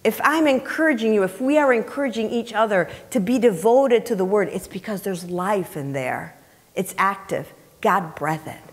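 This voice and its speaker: A woman's voice: mid-range voice, expressive, normal speed. A middle-aged woman preaching a sermon in an emphatic, conversational way, repeating phrases and asking rhetorical questions. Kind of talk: sermon, teaching scripture to a congregation. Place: church sanctuary, indoors, on the platform. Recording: reverberant hall, picked up in large room.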